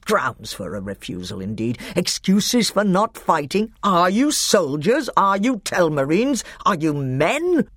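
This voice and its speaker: A man's voice: mid-range voice, sing-song, normal speed, dry recording, studio quality. A man reading aloud voices an angry, proud middle-aged usurper king who growls and roars. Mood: furious. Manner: growling, roaring, blustering.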